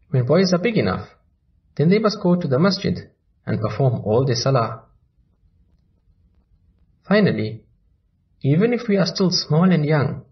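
A man narrating a children's story in a slow, lively storybook manner. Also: mid-range voice, dull audio.

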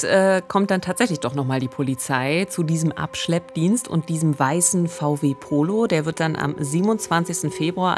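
A woman in her thirties or forties co-hosting a true-crime podcast, narrating conversationally in a studio. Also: sits low. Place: small indoor podcast studio. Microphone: close studio condenser microphone with pop filter.

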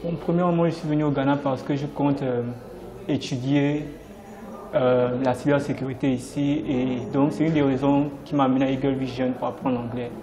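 A Gabonese school leaver being interviewed in a classroom, a crowd audible behind him. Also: male voice, mid-range voice, steady tone, fairly quick, dull audio. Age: young adult. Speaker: language student interviewee. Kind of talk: self-introduction testimonial.

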